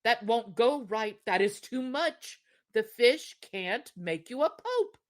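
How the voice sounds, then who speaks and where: A woman's voice: a little high, expressive, slow, voice pushed up. A woman voicing a meek, anxious, henpecked fisherman in a storybook, pleading and hesitant. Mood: anxious protest.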